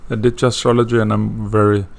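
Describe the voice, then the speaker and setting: A man's voice: on the low side, flat delivery, fast, gravelly. A man from Sweden with a Swedish accent, introducing an astrology course in a hesitant way, with many fillers and restarts. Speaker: astrology teacher.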